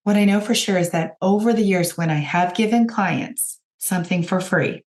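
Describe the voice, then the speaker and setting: A woman with a raspy voice, hoarse from a cold, hosting a podcast and giving direct, encouraging coaching talk to her listeners. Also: medium pitch, normal speed. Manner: encouraging, direct, addresses listeners as you guys. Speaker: podcast host and business coach.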